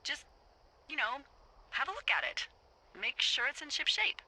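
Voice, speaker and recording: An actress playing a wry, watchful fire lookout in a video game, talking conversationally and sardonically over a two-way radio. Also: female voice, high-pitched, sing-song, moderate pace, sharp tone, exaggerated voice, rough recording. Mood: falsely chipper. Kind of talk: naturalistic video game dialogue.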